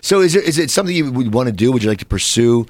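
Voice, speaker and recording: A man hosting a casual talk show, chatting in a rambling way with many restarts and a raspy voice. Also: medium pitch, lively intonation, very fast, no echo. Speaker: podcast host. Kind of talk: casual chat. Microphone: studio mic with headphones.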